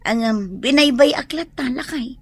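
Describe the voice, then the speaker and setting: An actress with an Ilocano accent playing a concerned, gossipy village woman in a comic radio drama, expressive and questioning. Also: high voice, moderate pace, dry recording. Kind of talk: comedic radio drama acting.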